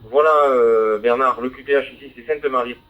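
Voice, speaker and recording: A man's voice: medium pitch, fairly even intonation, fairly quick, a bit bright. A man talking over a ham radio link, hesitating with many fillers and spelling call signs phonetically. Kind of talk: radio contact over the air. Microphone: handheld radio microphone, decoded by a receiver. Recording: tinny narrowband digital radio with faint hiss.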